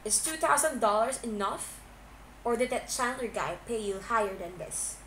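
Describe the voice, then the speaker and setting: A suspicious young businessman, played by a woman reader fires curt, angry demands in a dramatised story. The delivery is cold and gruff. The amateur recording has clear noise behind it. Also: a little high, animated, normal speed, a bit bright, echoey room. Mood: angry, scornful. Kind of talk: dramatised fan fiction reading. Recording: noisy amateur home recording.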